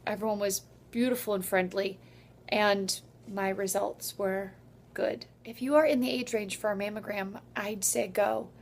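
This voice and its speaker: A woman in her forties talking to her followers in a personal vlog, earnest and measured, with pauses between phrases. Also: medium pitch, steady tone, gravelly. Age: 40s. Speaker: vlogger.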